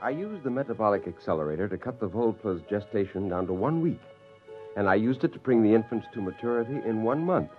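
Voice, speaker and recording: Animated, gleeful scientist played by a man, vintage radio. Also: on the low side, fast talker, close to an everyday voice. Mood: proud narration. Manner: theatrical, gleeful, coaxing. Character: exuberant scheming male scientist, fond creator of creatures. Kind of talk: 1950s radio acting, first-person narration and dialogue. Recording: dull vintage radio recording with music.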